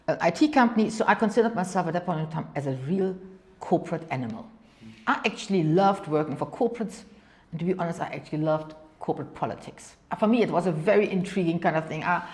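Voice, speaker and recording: German-accented woman with a lowish voice. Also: darkish tone. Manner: talks in long anecdotes, often says "kind of go and", repeats words. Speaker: venture capital partner, guest. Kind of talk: interview storytelling.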